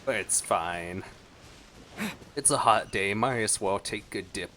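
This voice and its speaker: A man's voice: lowish voice, takes it slowly, bright, forceful tone, a little hiss or hum. A man giving casual gameplay reaction commentary as a streamer. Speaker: game streamer. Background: faint game music.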